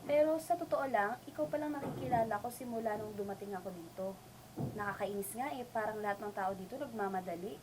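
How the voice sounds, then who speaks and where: A woman playing an earnest young provincial student and affectionate daughter in an amateur radio drama, reassuring and gently teasing. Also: mid-range voice, quick pace, background noise, close to an everyday voice. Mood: friendly, candid.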